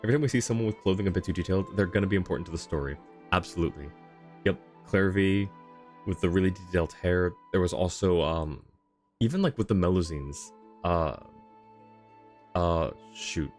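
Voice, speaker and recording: A man livestreaming a game with casual, thoughtful commentary as he chats with viewers, often pausing to find his words. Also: low voice, a little mellow, faint music behind. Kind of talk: casual live commentary, answering chat.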